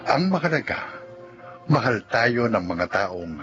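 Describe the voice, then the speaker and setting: A Filipino male announcer narrates slowly and dramatically with a wide-ranging pitch. The radio outro has a dull sound and loud music behind. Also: very animated.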